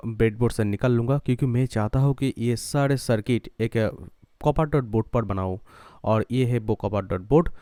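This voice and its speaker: A man's voice: medium pitch, dark tone, smooth, no room sound, clean studio sound. A man narrating a do-it-yourself tutorial step by step, mixing English terms and phrases into his speech. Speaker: electronics tutorial host.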